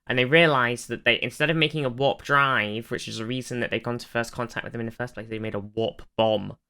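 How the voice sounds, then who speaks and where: Bright, clear-voiced podcast co-host in casual chat. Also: mid-range voice, steady tone, a little fast. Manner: informal, sweary, enthusiastic fan. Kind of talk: casual chat, recounting TV episodes.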